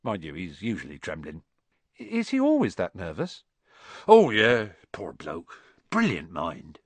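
A man's voice: sits low, wildly swooping pitch, a little slow, no echo, pitched below the performer's own voice, heavily acted. A man voicing a huge, friendly male giant who talks in a hearty, colloquial, blunt way.

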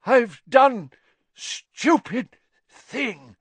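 A man's voice: fairly high pitch, lively intonation, normal speed, fairly bright tone, dry recording, voice pushed up. A man reading aloud and voicing a deranged, exhausted older male official who gasps out broken fragments of speech. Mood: frantic.

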